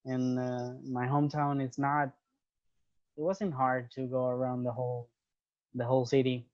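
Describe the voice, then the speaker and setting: A man's voice: medium pitch, little pitch movement, moderate pace. Venezuelan man speaking English, lo-fi sound. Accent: Venezuelan Spanish. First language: Spanish. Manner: thoughtful, restarts phrases while searching for English words. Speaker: guest. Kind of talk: casual interview conversation.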